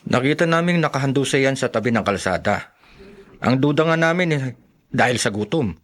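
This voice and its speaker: A man's voice: medium pitch, lively intonation, a little fast, fairly bright tone, close to an everyday voice. An actor playing a matter-of-fact male village official in a Filipino radio drama.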